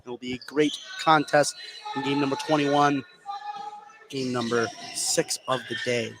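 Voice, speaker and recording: An American man giving live high school basketball play-by-play in an energetic, clipped running style. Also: a little slow. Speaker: play-by-play commentator. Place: indoor basketball gym, courtside broadcast. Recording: clear courtside gym noise.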